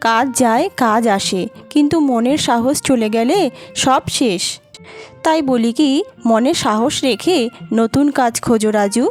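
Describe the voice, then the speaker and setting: A woman playing a gentle, steadfast young wife in a cartoon, soothing and encouraging in manner. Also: on the high side, unhurried, lightly acted. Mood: reassuring. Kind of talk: cartoon dubbing. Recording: music behind the voice.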